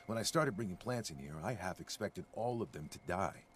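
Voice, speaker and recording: A mild-mannered ghoul gardener from a post-apocalyptic game. His fairly low voice rises and falls animatedly with earnest, timid wonder. There is faint ambience behind him. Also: expressive, a little fast, slight background noise. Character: gentle, timid, nature-loving male ghoul gardener. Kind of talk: video game character dialogue.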